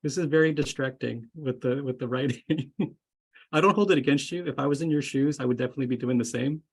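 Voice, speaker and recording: Gravelly-voiced man on a webinar call. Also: mid-range voice, quick pace. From grew up moving around, largely Florida. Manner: friendly, rambling, many fillers and restarts. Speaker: associate dean speaking to incoming students. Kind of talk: casual webinar talk. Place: home or office, indoors. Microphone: video call.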